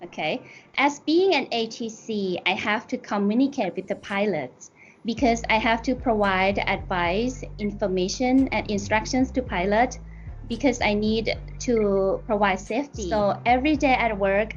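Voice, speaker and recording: A woman's voice: mid-range voice, a little slow, muffled recording. A woman giving orderly, explanatory interview answers as an air traffic controller. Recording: soft music behind the voice.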